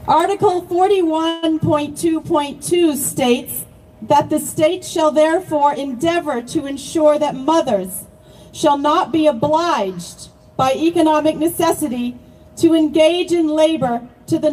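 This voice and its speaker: A woman with a high voice and a non-native accent delivers a prepared, declamatory speech at a rally. The voice sits back from the microphone, with a faint hum of outdoor noise behind it. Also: slow pace, a little mellow, recorded from a distance, low-quality recording. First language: not born in Ireland, long resident. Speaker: rally speaker.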